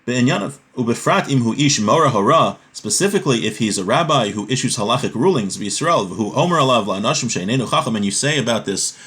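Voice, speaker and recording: A man's voice: mid-range voice, lively intonation, quick pace, few pauses, fairly bright tone. Male religious teacher explaining a text. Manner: didactic, often checking with "right?". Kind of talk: religious text lesson, reading then translating and explaining.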